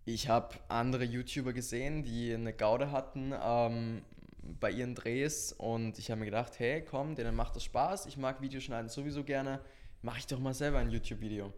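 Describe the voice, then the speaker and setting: A man's voice: medium pitch, fairly even intonation, normal speed, a little mellow. Young Austrian man, casual podcast guest. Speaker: podcast guest, social media creator. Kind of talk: casual interview conversation.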